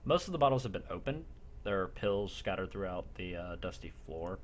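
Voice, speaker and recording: Blunt, low-voiced man running a role-play game. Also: expressive, brisk. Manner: blunt, casual, swears. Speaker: game master. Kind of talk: narrating a live tabletop-style role play. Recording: noisy online voice chat.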